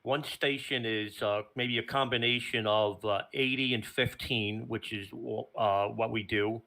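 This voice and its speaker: A man with a strikingly bright, forceful voice at an average pitch. He talks through technical matters casually, like an operator explaining his own setup in plain terms. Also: fairly even intonation, unhurried. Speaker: remote ham radio station operator.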